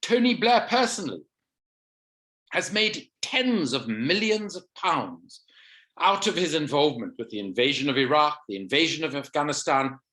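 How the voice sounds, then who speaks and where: A middle-aged man from South Africa giving a measured, emphatic campaign rally speech with a sore throat. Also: a little high, very animated, sharp tone. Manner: measured, emphatic, slows for weight. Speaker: rally speaker.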